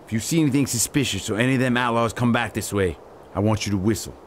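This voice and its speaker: An actor playing a gruff, domineering Texas sheriff in an Old West audio drama, with a folksy, swearing manner. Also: male voice, mid-range voice, fast, a little hiss or hum, lightly acted. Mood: stern. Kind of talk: western audio drama acting.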